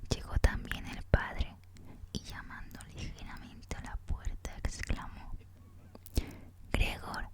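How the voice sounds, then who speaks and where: Whispering woman reading a book. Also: measured pace. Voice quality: breathy, unvoiced whisper. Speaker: reader, host of a reading series.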